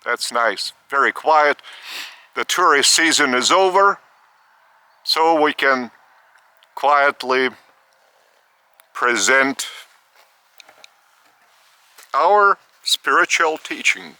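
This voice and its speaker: Man, spiritual teacher, very bright tone, unhurried halting phrasing, outdoors. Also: medium pitch, expressive, clear, resonant tone, no echo. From Lithuania. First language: Lithuanian. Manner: slow, deliberate, speaks in short halting phrases. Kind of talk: outdoor spiritual talk to camera. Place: outdoors in the park of an old estate.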